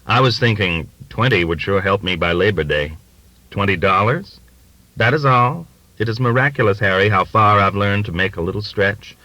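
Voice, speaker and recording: A man's voice: on the low side, bright, forceful tone, no echo, low-quality recording. Man acting out a folksy, wheedling middle-aged hustler. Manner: folksy, ingratiating, drawn-out cajoling.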